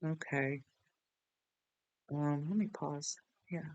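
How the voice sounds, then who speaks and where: A man hosting a software tutorial in a casual, rambling, self-deprecating way. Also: a little high, slow, lo-fi sound. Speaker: tutorial host.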